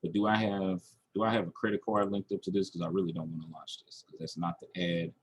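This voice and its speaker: A man's voice: low-pitched, level tone, fast talker, breathy edge. A man teaching online marketing in a screen-share tutorial, talking through each step aloud and checking in as he goes. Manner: instructional, checking in with "okay" and "all right".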